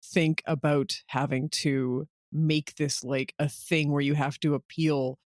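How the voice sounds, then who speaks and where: A woman's voice: sits low, little pitch movement, slow, no echo, studio quality. A woman who writes audio drama, chatting casually in an interview. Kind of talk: casual chat.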